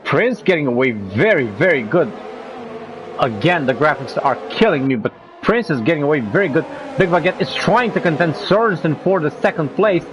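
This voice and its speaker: A man with a cold commentating a sim racing league broadcast, rising in pitch when the action heats up. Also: animated, normal speed, background noise. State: has a cold. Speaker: race commentator.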